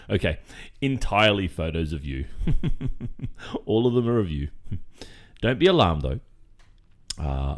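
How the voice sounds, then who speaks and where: A man with an Australian accent playing an obsessive, creepily cheerful kidnapper boyfriend in an intimate ASMR roleplay, halting and falsely reassuring, with nervous chuckles. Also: low-pitched. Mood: besotted, creepily proud.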